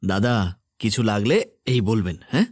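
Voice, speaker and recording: A man's voice: fairly low pitch, expressive, normal speed. A man acting a shy, hesitant, solicitous brother-in-law in an audio drama. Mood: shy, apologetic. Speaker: voice actor.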